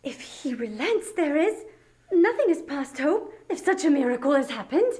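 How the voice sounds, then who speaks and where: A woman's voice: high voice, very animated, moderate pace, darkish tone. A woman playing an anxious, mild young wife in a dramatic audiobook reading. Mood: anxious hope.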